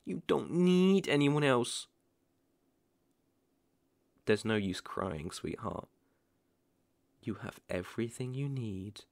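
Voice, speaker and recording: A man playing a possessive, lovesick boyfriend in an ASMR roleplay, cooing with gentle condescension and quiet menace, often hushed and breathy. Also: medium pitch, animated, takes it slowly, pauses often, no echo. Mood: soothing, controlling. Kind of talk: ASMR roleplay, dramatic monologue.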